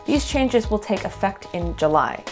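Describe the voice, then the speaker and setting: A woman from Canada, hosting an immigration talk show, reading news items and then chatting with a guest in a clear, steady delivery. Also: medium pitch, normal speed, soft background music. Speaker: host. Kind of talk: news segment then casual chat.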